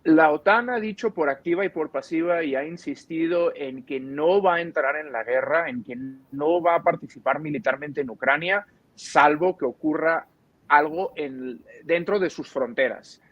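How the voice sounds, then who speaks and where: A man's voice: on the high side, normal speed, no room sound, muffled recording. A man with an accent from Spain gives explanatory commentary as an interviewed analyst, choosing his words carefully and qualifying his points. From Barcelona, Spain.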